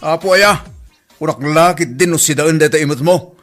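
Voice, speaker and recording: A man's voice: a little high, moderate pace, music in the background, natural voice. A man with an Ilocano Filipino accent playing an exasperated, grumbling working husband in a radio comedy drama.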